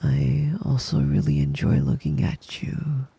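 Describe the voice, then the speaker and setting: A man playing a possessive, doting young wolf-boy lover in intimate ASMR roleplay, coaxing and teasing in a breathy, husky voice that often drops to a whisper. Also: medium pitch, big pitch swings, mellow, no room sound, full character voice. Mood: possessive, tender. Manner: slow, coaxing, teasing endearments.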